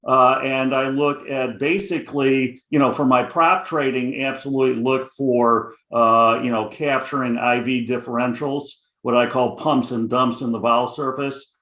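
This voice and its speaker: A man's voice: medium pitch, steady tone, normal speed, hardly any pauses, a bit bright, reverberant. A middle-aged man with a Chicago accent, a guest options trader talking casually, rambling with many fillers. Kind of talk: casual interview chat.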